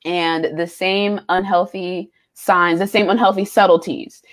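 A young woman in her twenties co-hosting a faith podcast, telling personal stories candidly with frequent pauses and ums. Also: on the low side, steady tone. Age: young adult. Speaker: podcast co-host. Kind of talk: casual chat.

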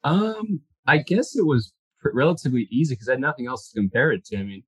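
A man's voice: medium pitch, lively intonation, fast talker, fairly bright tone, husky, dry recording. A Canadian man speaking as a guest in a casual interview, easygoing and descriptive.

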